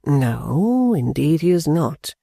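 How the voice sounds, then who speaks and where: A man reading an audiobook, voicing an earnest, formal gentleman who speaks in a measured, reassuring way. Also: on the high side, big pitch swings, gravelly, slightly rough, dry recording, clean studio sound, heavily acted. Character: earnest gentleman, calm, persuasive. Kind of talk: audiobook character voice.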